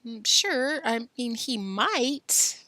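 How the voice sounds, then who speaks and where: Lady doing a sleepy grumpy kid just woken up, brushing it off, bright tone. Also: fairly high pitch, expressive, extremely slow, dry recording, pitched above the performer's own voice, exaggerated voice. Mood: grumpy, drowsy. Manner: drowsy and dismissive. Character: sleepy, grumpy young boy.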